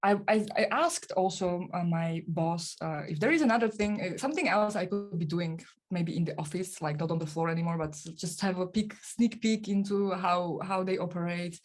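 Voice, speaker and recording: A woman's voice: fairly low pitch, moderate pace, faint music behind. A young woman, a podcast guest, answering interview questions casually with many fillers and restarts. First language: not German.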